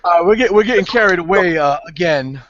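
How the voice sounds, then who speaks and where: A man's voice: high-pitched, lively intonation, brisk, fairly bright tone, dry recording. A man chatting bluntly and brashly on a video hangout. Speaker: game master panelist. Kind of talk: casual chat on a video hangout. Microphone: video call microphone. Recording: dull, dry call audio.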